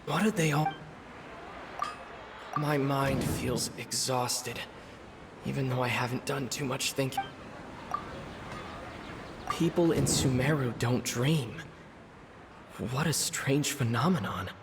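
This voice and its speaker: A man's voice: medium pitch, slow, long pauses, heavy background noise. A man playing through a story game, reading dialogue and musing aloud.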